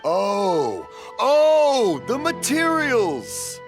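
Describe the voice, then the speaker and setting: A man's voice: fairly high pitch, wildly swooping pitch, unhurried, music in the background, exaggerated voice. An actor playing a jovial, rambling, hard-of-hearing old father and craftsman in a video game, hearty and dramatic. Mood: apologetic, cheerful. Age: older. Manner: hearty, dramatic storyteller, easily sidetracked. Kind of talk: English video game voice acting.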